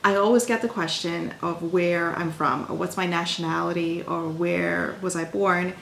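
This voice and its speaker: A woman from Guyana talks straight to her viewers in a chatty, upbeat monologue. Her voice sits a little low and carries a gravelly fry. The room around her rings with echo. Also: fairly even intonation, moderate pace, a bit bright, a little hiss or hum. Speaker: host of own channel. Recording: echoey room.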